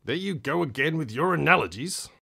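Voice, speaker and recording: A man's voice: fairly high pitch, expressive, brisk, gravelly, bright, forceful tone, a little hiss or hum, exaggerated voice. A man playing a snappish sweary minister. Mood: irritable. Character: irritable sweary male minister, impatient. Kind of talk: radio comedy acting.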